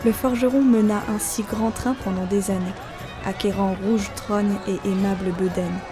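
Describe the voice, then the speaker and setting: A woman narrating a folk tale as a scripted audio story. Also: mid-range voice. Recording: faint music behind. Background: faint music.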